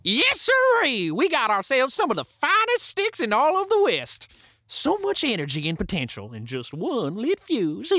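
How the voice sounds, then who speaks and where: Excitable, swooping Old West explosives seller. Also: female voice, sits high, moderate pace, exaggerated voice. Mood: gleeful. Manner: folksy, whooping, theatrical. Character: gleeful, excitable Old West dynamite seller named Spots. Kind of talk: audio drama acting.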